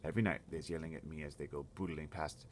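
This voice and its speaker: A man voicing a kindly, mournful giant who talks in gentle, muddled grammar. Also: a bass voice, steady tone, quick pace.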